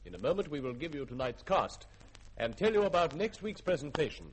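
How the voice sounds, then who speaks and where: A man's voice: a little high, very animated, a little fast, slight background noise, poor audio. A man announcing as a formal, theatrical radio compere in 1950s style.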